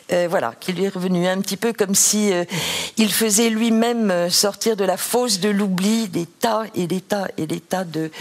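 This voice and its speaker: A woman speaking on a public panel, halting with frequent fillers. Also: medium pitch.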